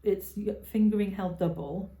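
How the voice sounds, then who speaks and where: A woman's voice: mid-range voice, fairly even intonation, moderate pace, warm tone. Chatty giggly woman, echoey room. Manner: chatty, giggly, trails off and restarts. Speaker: podcast co-host. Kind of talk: casual chat. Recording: roomy echo.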